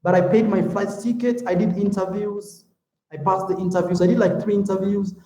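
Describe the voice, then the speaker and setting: High-voiced laid-back man in echoey room. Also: brisk. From Africa. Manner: relaxed and talkative, calls viewers "man" and "bro". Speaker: YouTube vlogger. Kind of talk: casual livestream chat, reading viewer comments.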